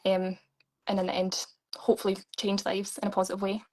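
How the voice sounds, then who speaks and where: A young Scottish woman talks into a video call. She sounds earnest and upbeat and laughs easily, and her pitch stays fairly level and even throughout. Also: fairly quick. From Scotland. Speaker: pageant contestant. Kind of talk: live online interview.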